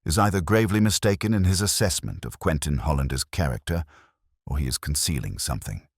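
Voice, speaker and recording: Male narrator as audiobook gentleman detective, very deep voice, coolly analytical, precise deductions, dry close sound. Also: fairly quick, husky, dry recording, exaggerated voice. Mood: musing, analytical. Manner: precise, formal, musing deductions.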